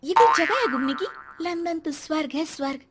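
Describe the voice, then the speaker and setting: A woman's voice: sits high, animated, brisk, over loud music. A woman voicing a spoiled, snobbish young daughter-in-law in a Hindi cartoon story, whiny and haughty, dropping in English words. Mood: disdainful.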